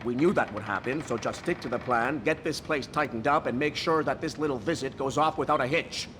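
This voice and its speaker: A man acting as a commanding game villain's lieutenant, with a mid-pitched voice and a curt, no-nonsense manner. He speaks like someone used to giving orders. There is clear ambient noise behind him. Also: fairly quick, natural voice. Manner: brusque, commanding. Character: brusque male crew leader of criminals giving orders.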